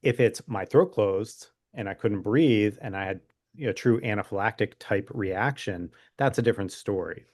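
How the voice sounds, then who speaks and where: A man's voice: on the low side, normal speed, a little mellow, no room sound, studio quality. A man speaking as a physician guest expert in an interview, explaining in an informal, conversational way with wry humor.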